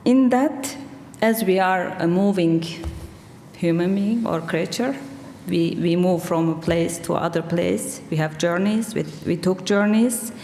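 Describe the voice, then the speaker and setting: A woman's voice: on the low side, darkish tone. A woman in her forties, a poet whose first language is Kurdish, speaking English with a Turkish accent, answering thoughtfully on a festival panel into a table microphone. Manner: slow, thoughtful, searching for words. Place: large hall with audience. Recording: roomy hall reverb, faint room noise.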